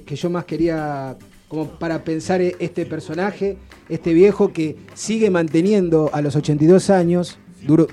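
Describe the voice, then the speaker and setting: A man's voice: on the high side, dark tone. A man chatting on a radio show, telling rambling stories full of restarts. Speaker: radio guest presenting authors. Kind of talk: casual radio chat. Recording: radio studio with faint background music.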